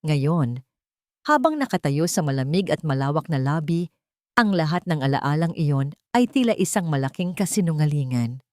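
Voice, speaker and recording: Lowish-voiced woman narrating a drama. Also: lively intonation, darkish tone, no echo, clean studio sound. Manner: measured, dramatic storytelling. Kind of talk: scripted romance story narration.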